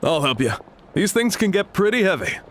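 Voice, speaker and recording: A man's voice: high voice, big pitch swings, fairly quick, fairly bright tone, a little hiss or hum. A man playing a helpful, teasing young companion in a video game. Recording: music playing behind the voice.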